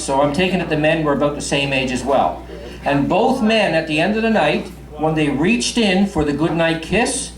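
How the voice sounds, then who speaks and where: A man in his fifties from Newfoundland with a faint Newfoundland accent, doing crude, chatty stand-up in a bar and swearing freely. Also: a little high. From Newfoundland, Canada. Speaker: stand-up comedian. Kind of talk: stand-up comedy. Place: bar stage. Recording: echoey bar with crowd.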